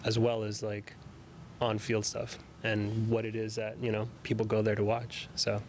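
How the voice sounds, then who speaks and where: A man's voice: lowish voice, monotone, normal speed, a crowd in the background. A man whose first language is Spanish, explaining his work as a team's video creator at length and earnestly, in a casual chat. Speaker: video content creator for a sports team. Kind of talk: casual podcast conversation.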